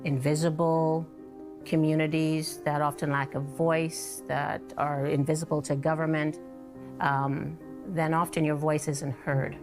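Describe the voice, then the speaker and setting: Woman, low pitch, measured documentary interview, articulate, clear background music. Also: little pitch movement. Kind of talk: documentary interview commentary on environmental racism. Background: instrumental music.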